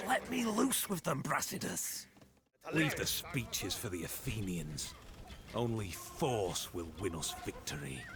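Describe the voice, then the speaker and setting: An actor playing a belligerent, impatient Spartan soldier who talks bluntly and aggressively in a game cutscene. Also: male voice, mid-range voice. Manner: blunt, aggressive, scornful of talk. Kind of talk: dramatic video game cutscene acting.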